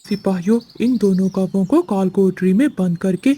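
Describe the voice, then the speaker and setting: A woman's voice: mid-range voice, moderate pace, dark tone, husky, close to an everyday voice. A woman voicing a stern, authoritative pigeon who gives commands in a moral-story cartoon. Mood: stern, outraged. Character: stern pigeon, guardian of forest law and fruit.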